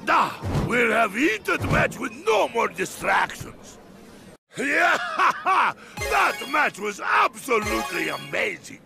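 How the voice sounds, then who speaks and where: A man playing a huge, boisterous, muscle-proud wrestler in a video game, bombastic and hearty with a gravelly voice. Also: very high voice, wildly swooping pitch, slow, over music, heavily acted. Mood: hearty, excited.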